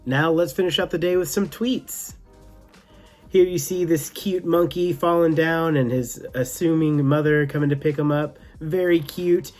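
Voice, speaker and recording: A man's voice: on the high side, fairly even intonation, measured pace, a little mellow, faint music behind. A man hosting a tech news show, giving enthusiastic, partly scripted commentary with some casual asides.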